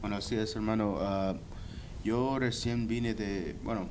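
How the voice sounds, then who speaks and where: A young Guatemalan man with a Guatemalan Spanish accent, giving a halting personal faith testimony full of restarts. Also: lowish voice, fairly even intonation, a little mellow, a little hiss or hum. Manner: hesitant, many restarts and repeated words.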